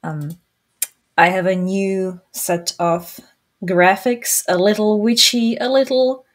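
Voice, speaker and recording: A woman with a Czech accent hosting an interview over a video call, chatting in a relaxed, joking way. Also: mid-range voice. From Czech Republic. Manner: relaxed, joking, with quick restarts. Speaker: podcast host. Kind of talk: casual interview chat.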